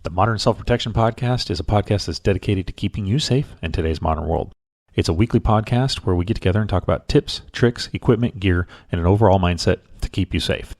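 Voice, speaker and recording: A man's voice: deep voice, fast, a little mellow. A man, a podcast host, reading a scripted promo.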